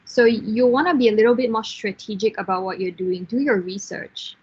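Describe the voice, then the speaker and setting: A woman in her thirties from Singapore, a software team lead giving frank, encouraging career advice in an online class, with fillers and restarts. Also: mid-range voice, brisk, slightly rough, muffled recording. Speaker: guest speaker, software engineer team lead.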